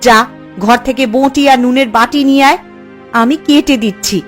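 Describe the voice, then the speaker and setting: Woman playing a high-voiced scolding village mother. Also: moderate pace, soft background music, close to an everyday voice. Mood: bossy. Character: scolding, hard-working poor village mother. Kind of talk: Bengali cartoon voice acting.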